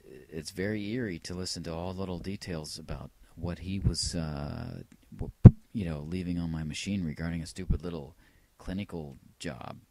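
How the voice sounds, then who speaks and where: A man talking casually and reflectively about his own old tapes, halting and rambling with a wry edge. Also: deep voice, gravelly, background noise. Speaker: vlogger playing old answering machine tapes. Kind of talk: casual rambling personal narration.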